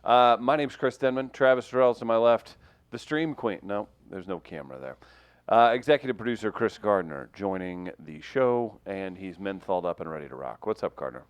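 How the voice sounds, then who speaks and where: A man's voice: fairly low pitch, lively intonation, normal speed, clear, resonant tone. A man hosting a live talk show, introducing his crew and guests with dry, wry remarks. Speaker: host. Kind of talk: live radio show banter.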